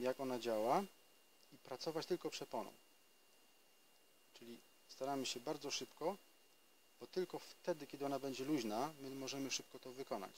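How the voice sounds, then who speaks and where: A man's voice: medium pitch, darkish tone, a little hiss or hum, poor audio. Man teaching outdoors in a forest. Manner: explanatory, with hesitations and restarts. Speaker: trumpet teacher. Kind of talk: casual spoken lesson.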